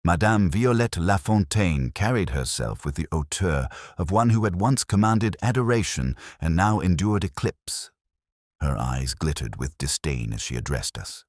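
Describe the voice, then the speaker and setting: Male narrator, extremely low register, formal and measured, expressive period mystery storytelling, close dry sound. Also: no echo. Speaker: audiobook narrator as Dr Watson. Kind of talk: scripted dramatic mystery narration.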